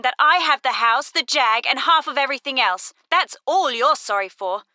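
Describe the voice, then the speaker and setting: Actress as scheming wife, high-pitched female voice, sharp-tongued, close dry sound, audio drama. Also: lively intonation, normal speed, no room sound, exaggerated voice. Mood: cold, bitter.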